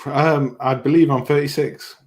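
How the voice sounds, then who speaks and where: A man's voice: mid-range voice, steady tone, normal speed. Easygoing man in his thirties. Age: 30s. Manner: easygoing, laughs along. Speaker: co-host. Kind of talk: casual chat on a video call.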